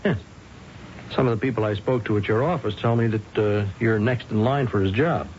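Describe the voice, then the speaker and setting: An actor playing a patient, methodical police lieutenant who questions people politely and hesitantly in an old radio drama. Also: male voice, fairly low pitch, a little fast, darkish tone, natural voice. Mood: probing. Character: unhurried, probing male police lieutenant questioning a suspect. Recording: noisy, muffled old radio recording.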